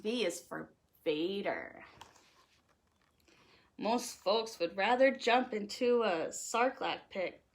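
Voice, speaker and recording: A woman reading a children's picture book aloud in an animated, playful way, leaning on the rhymes. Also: medium pitch, slow, long pauses. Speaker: storytime host reading aloud.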